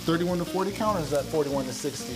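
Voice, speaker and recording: Upbeat chef guy hosting a cooking show, jokey and chatty, kinda high voice, music under it. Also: fast talker, rough recording. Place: home kitchen. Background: background music and sizzling pan.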